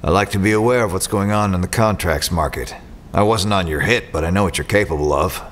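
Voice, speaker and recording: An actor playing a shrewd, cocky mercenary in an audio drama, sardonic and confident. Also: male voice, low voice, a little fast, a bit bright, slight background noise. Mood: coolly confident.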